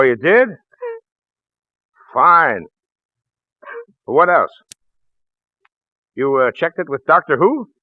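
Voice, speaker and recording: An actor playing a folksy, shrewd small-town police lieutenant in a 1940s radio drama, hesitant and apologetic in manner. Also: male voice, mid-range voice, no echo. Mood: brisk, businesslike. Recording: muffled vintage radio recording, phone-like band.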